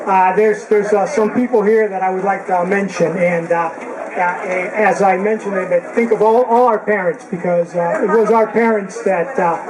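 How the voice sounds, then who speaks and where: A man in his sixties from Cuba, emceeing a reunion and mixing English and Spanish, with a halting, joking delivery full of fillers and restarts. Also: high-pitched, fairly even intonation. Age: 60s. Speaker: event emcee. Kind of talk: speaking to a crowd at a reunion. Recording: loud crowd in a hall.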